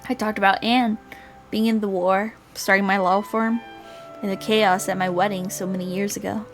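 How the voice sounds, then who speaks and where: A woman voicing a reflective older man who narrates a visual novel in a measured, wistful way. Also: medium pitch, steady tone, soft background music, natural voice. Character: reflective older male lawyer, a wedded war veteran.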